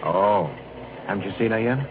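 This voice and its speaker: A man's voice: on the low side, moderate pace, phone-quality sound, crowd noise, close to an everyday voice. An actor playing a kindly middle-aged traveling salesman, folksy and rambling, in a 1940s radio drama. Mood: sympathetic. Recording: thin, noisy old radio recording.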